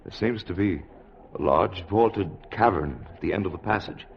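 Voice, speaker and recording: Commanding scientist, muffled old radio. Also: male voice, low-pitched, moderate pace, gravelly, a little hiss or hum. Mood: urgent, steady. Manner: urgent, declamatory, authoritative. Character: resolute, commanding male scientist leading an expedition. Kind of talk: 1950s radio drama acting.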